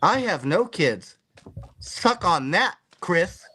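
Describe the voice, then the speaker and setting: Man, podcast host, fairly high voice, animated, joking, rambling. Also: slow pace, a little hiss or hum. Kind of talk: casual chat.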